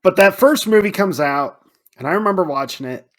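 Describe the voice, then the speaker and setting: A man's voice: high-pitched, lively intonation, fairly quick. A man co-hosting a film podcast, talking casually and swearing freely as he acts out his own reactions. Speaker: podcast co-host.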